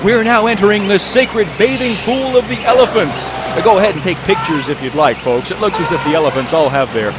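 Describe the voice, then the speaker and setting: A man's voice: sits high, brisk, very noisy, close to an everyday voice. A man playing a wisecracking jungle riverboat guide who gives passengers a corny patter in a comic radio serial. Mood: breezy patter. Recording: loud outdoor engine ambience behind.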